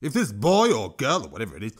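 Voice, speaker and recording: A man voicing a boastful, bigoted male troll minister who jeers and shouts over a crowd, his pitch pushed up and his timbre changed. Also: huge pitch swings, quick pace. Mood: ranting.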